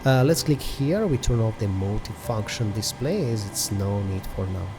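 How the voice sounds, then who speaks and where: A man's voice: on the low side, lively intonation, moderate pace, music in the background. A man hosting a flight simulator video, giving methodical step-by-step commentary in a hesitant delivery.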